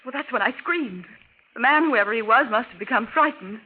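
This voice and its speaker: An actress playing a shaken society wife in a 1940s radio mystery, recounting with hesitant drama. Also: female voice, fairly high pitch, animated, fairly quick, lightly acted. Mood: shaken, dramatic. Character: shaken, breathless society wife telling her ordeal. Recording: tinny, noisy 1940s radio recording.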